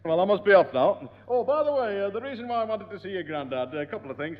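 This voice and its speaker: An actor playing a pompous, chatty neighbour in a 1960s radio sitcom, speaking in a theatrical, rambling way. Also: male voice, high-pitched, big pitch swings, quick pace. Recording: dull echoey 1960s radio recording.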